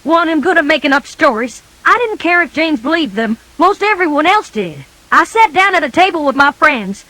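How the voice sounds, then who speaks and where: High, bright, animated woman storyteller. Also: moderate pace, no room sound, rough recording. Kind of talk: scripted children's story narration.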